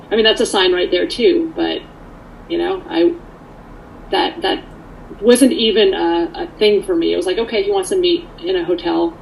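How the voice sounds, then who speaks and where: Low, gravelly woman sharing personal testimony. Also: slight background noise, low-quality recording. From Canada, born in Poland. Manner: candid, earnest. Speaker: speaker sharing her recovery story.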